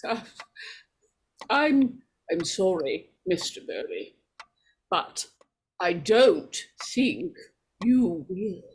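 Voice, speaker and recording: A woman playing a strange, fretful and possessive character, halting and pleading in melodramatic 1940s radio horror style. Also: medium pitch, sing-song, a little hiss or hum, full character voice. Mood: ominous pleading.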